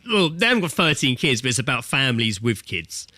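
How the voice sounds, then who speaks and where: Bright-voiced man in his thirties, close and dry. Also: medium pitch, sing-song, brisk, dry recording. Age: 30s. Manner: sweary, blokey and jokey. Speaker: podcast co-host. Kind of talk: casual comedic banter. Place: remote internet call.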